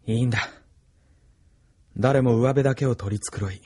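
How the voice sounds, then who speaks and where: An actor playing a remorseful, gentle soldier in an intimate anime audio drama. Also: male voice, medium pitch, moderate pace, breathy edge, no echo, studio quality, lightly acted. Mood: sorrowful, tender.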